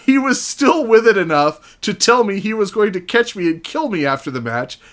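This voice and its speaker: A male podcast guest with a fairly high voice and very animated intonation. He talks casually and reads book passages aloud. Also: normal speed. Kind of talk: casual chat with read-aloud book excerpts.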